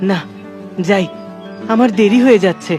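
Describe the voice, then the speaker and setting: A woman voicing a kind, tender young pupil in a cartoon, speaking in a gentle, coaxing way. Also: mid-range voice, normal speed, natural voice. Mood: thoughtful. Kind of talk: cartoon voice acting. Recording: clear music behind the voice.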